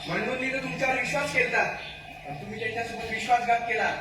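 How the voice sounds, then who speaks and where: A man's voice: very high voice, fast. A man playing an angry, distrustful forest-tribe leader in an amateur stage play, declaiming accusations and pointed questions. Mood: furious accusing. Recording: echoey hall, far mic, faint noise.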